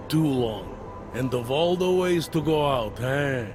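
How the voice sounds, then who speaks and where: An actor playing a weary, self-deprecating older cop near retirement in a video game, talking in a wry, conversational way. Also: male voice, medium pitch, animated, slow, gravelly, background noise. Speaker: police officer. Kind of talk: video game cutscene dialogue. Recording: background music under dialogue.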